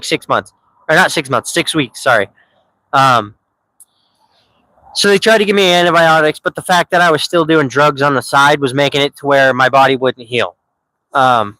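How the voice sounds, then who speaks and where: A man's voice: medium pitch, sharp tone, clean tone, no room sound, poor audio. A man in his thirties telling his own story on a live stream, candid and rambling, with many fillers and restarts. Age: 30s.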